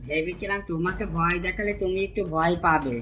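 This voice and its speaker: A woman's voice: on the low side, fairly quick, a bit bright, soft background music, natural voice. A woman voicing a menacing, thieving male village bully in a Bengali cartoon, taunting and threatening. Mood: angry, menacing. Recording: clear background noise and ambience.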